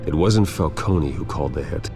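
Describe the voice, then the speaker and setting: Brooding male vigilante, very deep voice, terse, serious, determined, video game drama, music behind. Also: normal speed. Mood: grim, focused. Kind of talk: cinematic video game acting.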